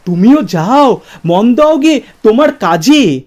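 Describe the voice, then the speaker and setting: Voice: medium pitch, very animated, takes it slowly, darkish tone, pitched above the performer's own voice. A poetry reciter voicing a capricious young cloud-girl, bossy and teasing, with a lilting delivery over music. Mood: brisk, consoling. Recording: music bed behind the voice.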